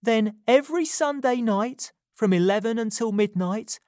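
A woman's voice: fairly high pitch, unhurried, darkish tone, no echo, studio quality, voice pushed up, lightly acted. Woman voicing a frightened young woman, urgent. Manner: urgent, pressing, tense.

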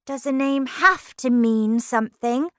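A woman's voice: high-pitched, a little slow, fairly bright tone, no room sound, clean studio sound, voice pushed up. A woman voicing a polite, curious young girl in a storybook, with an earnest, questioning manner.

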